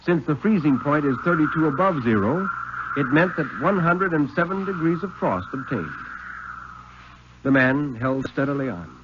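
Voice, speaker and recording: An American man giving a solemn, deliberate dramatic reading of a story in the style of 1950s radio. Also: a little high, background noise. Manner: solemn, deliberate, expressive phrasing. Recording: muffled 1950s radio recording.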